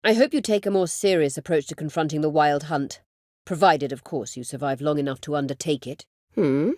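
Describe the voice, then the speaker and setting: An actress playing an imperious, scheming middle-aged sorceress in a video game, speaking formally and with measured, biting sarcasm. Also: female voice, deep voice, a little mellow, dry recording, studio quality, lightly acted. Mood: sardonic, haughty. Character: imperious, scheming sorceress, proud, sardonic and vengeful. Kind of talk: video game character dialogue.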